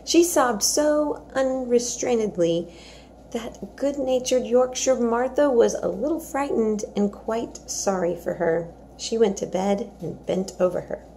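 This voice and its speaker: A woman's voice: fairly high pitch, a little slow, dark tone, slight background noise, rough recording. A woman reading a children's storybook aloud as narrator. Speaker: story reader.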